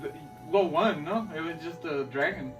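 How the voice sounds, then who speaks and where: A high-pitched male podcast co-host talks in a loose, slangy way, swearing freely and laughing often. He sounds a little away from the microphone, with music clearly playing behind him. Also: moderate pace. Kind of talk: casual chat about movies and video games. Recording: distant mic with music behind.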